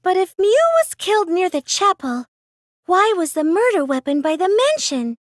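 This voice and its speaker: Nerdy teenage girl, extremely high voice, lively swooping intonation, earnest, hesitant, video game character. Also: moderate pace, dry recording, clean studio sound. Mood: thoughtful, questioning. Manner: hesitant, earnest, stammers when flustered. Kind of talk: English game dub dialogue.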